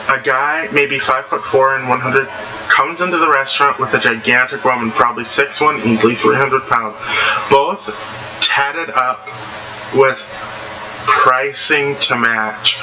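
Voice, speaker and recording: Man reading stories aloud over clear noise. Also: medium pitch, fairly even intonation, unhurried. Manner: stumbles and rereads phrases. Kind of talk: casual read-aloud with off-the-cuff reactions. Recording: noisy amateur recording.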